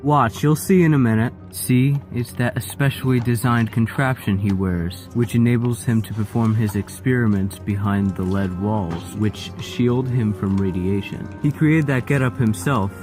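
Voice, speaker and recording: Lab colleague for comic dub male actor: explanatory, admiring, medium pitch, music underneath. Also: moderate pace, few pauses, a little mellow, lightly acted. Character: knowing, matter-of-fact research lab worker explaining things. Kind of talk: comic book dub voice acting.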